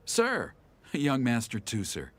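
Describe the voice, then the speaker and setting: A man's voice: mid-range voice, huge pitch swings, slow, slight background noise, full character voice. A man voicing a formal, deferential servant in a video game, stiff and courteous. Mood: dutiful. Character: formal, deferential male subordinate escorting his master's child. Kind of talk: English video game voice acting. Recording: loud music behind the voice.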